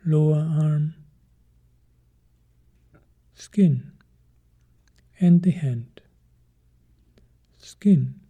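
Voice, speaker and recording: A man guiding a meditation in short, measured phrases with long pauses between them. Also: fairly high pitch, breathy edge, phone-quality sound. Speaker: meditation teacher. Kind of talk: guided meditation instruction.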